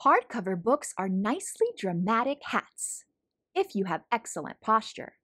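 A woman reading a children's picture book aloud as a storytelling host, in an expressive manner for young listeners. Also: medium pitch, moderate pace. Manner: animated, clearly paced storytelling for young children.

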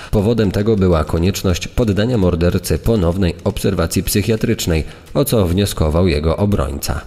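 A man's voice: deep voice, darkish tone. A man reading a scripted true-crime documentary text as a narrator. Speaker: second narrator. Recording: reverberant hall effect.

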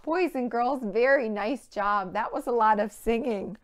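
An American woman in her thirties presenting a children's storytime, speaking clearly and animatedly in simple phrases to young children. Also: a little high, a little slow, background noise. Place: indoor children's play room.

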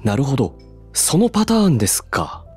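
An actor playing a calm, deadpan male bartender who cracks absurd jokes and explains theories at length, in a voice drama. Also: mid-range voice, moderate pace, slightly rough, faint music behind. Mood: dry. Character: calm deadpan male bar master, joking.

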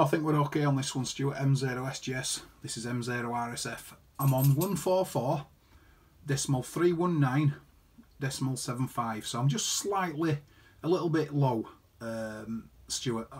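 A man's voice: mid-range voice, husky. A man chatting casually as an amateur radio operator, reading out callsigns and figures. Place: indoor room beside a desktop transceiver.

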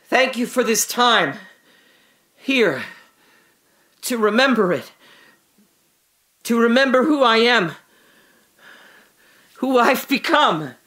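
An actor playing a dying father who speaks hushed, halting, awed last words to his family in an audio drama. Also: extremely high pitch, big pitch swings, heavily acted.

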